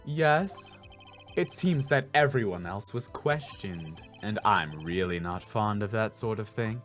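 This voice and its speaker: A man voicing a cool, haughty, evasive woman on a witness stand in a video game, measured and condescending, over music. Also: on the low side, lively intonation, a bit bright, voice pushed down.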